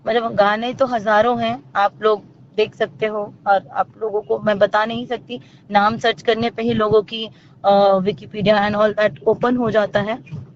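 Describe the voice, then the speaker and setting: A woman's voice: mid-range voice, little pitch movement, measured pace. A woman giving her account as an interview guest over a phone call, speaking in an emphatic, accusatory way. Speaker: interview guest, actor and singer. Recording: dull lo-fi phone recording, clear noise.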